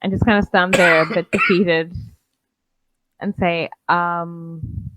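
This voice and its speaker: A woman playing in a tabletop game, talking in a measured way as she explains and argues her point. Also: lowish voice, steady tone, very noisy. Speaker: player. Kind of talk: casual tabletop play.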